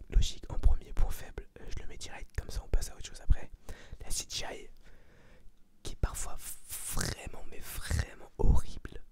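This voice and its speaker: An ASMR host whispering a review in an informal, slangy, rambling way. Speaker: ASMR video host giving a film review.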